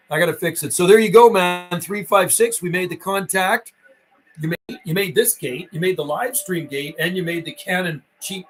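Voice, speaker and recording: A man's voice: on the high side, a bit bright. An older man, chatting casually and calling out as a CB radio operator on a livestream, in a folksy way with repeated radio phrases. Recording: roomy, distant mic, faint noise.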